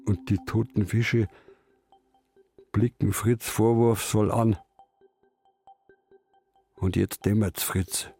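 Radio play role: stubborn old fisherman, dark gravelly tone, brooding colloquial storyteller. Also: on the low side, level tone, slow, pauses often, soft background music. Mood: brooding, uneasy. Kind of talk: literary radio drama narration in third person.

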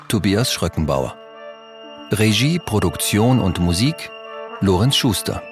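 Slow, calm man reading credits. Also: on the low side.

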